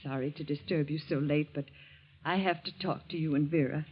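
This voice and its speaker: A woman's voice: sits low, brisk, a little hiss or hum, lightly acted. A woman playing an anxious, protective middle-aged mother and wife, pleading and emotive in 1940s radio melodrama style. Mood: hesitant, troubled. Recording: muffled vintage 1940s radio recording.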